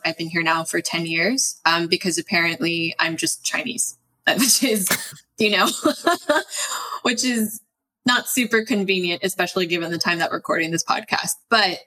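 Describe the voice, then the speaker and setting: A woman's voice: lowish voice, pushed and bright, poor audio. A woman from the United States, a podcast guest, talking in an earnest, reflective way with light laughs. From Los Angeles, United States. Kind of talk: casual interview conversation.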